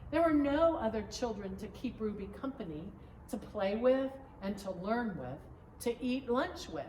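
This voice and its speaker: A woman reading a children's story aloud in a measured, expressive storytelling manner, recorded at a distance in an echoing room. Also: on the high side. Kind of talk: children's picture-book read-aloud. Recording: roomy echo, distant mic, background noise.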